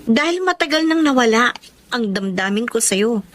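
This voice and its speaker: A woman with a Filipino accent playing a sincere, gentle but firm young woman in a radio drama. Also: fairly high pitch, expressive, normal speed, slight background noise. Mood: calm, firm.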